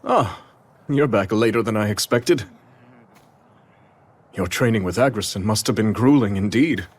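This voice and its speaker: Deep-voiced, burdened noble king. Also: slightly rough. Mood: gentle, weighty. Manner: formal, measured, solemn. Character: noble, burdened male king, grave and reflective. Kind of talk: dramatic video game voice acting.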